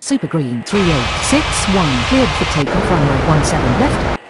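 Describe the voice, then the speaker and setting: A woman's voice: sits low, big pitch swings, normal speed, loud music behind the voice, low-quality recording. A woman speaking clipped, scripted aviation radio phraseology in an air traffic control game.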